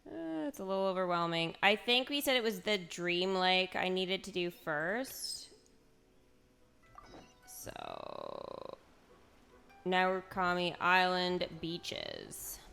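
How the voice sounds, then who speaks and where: A woman streams a game with casual, exclamatory commentary. Her voice is bright and swings widely in pitch, with some gravel in it. Music plays faintly behind her. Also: wildly swooping pitch, slow, long pauses. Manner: exclamatory, mild minced swearing. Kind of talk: casual live gameplay commentary, chatting to viewers.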